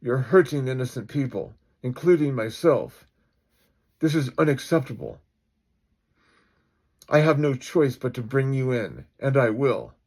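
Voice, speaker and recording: A man's voice: medium pitch, big pitch swings, a little slow, long pauses, darkish tone, voice pushed up. A man playing an indignant, duty-bound police chief who speaks formally and emphatically in an acted-out story. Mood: stern, indignant.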